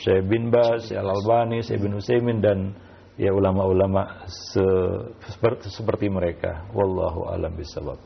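A man giving religious answers as an Islamic teacher, speaking haltingly with repeated filler tags and quoted Arabic phrases. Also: sits low, fairly even intonation, creaky, a little hiss or hum. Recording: muffled, dull lo-fi audio.

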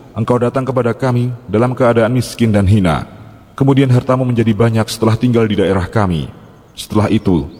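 A man calmly narrating a scripted religious story in a measured way. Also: fairly low pitch. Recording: long reverb.

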